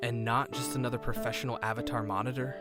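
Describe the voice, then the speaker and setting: Young male hero played by a man: mid-pitched, playful yet self-doubting, earnest friend, visual novel drama, loud music underneath. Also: little pitch movement, fast, close to an everyday voice. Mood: earnest, confiding. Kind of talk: fan-made visual novel voice acting. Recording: background music throughout.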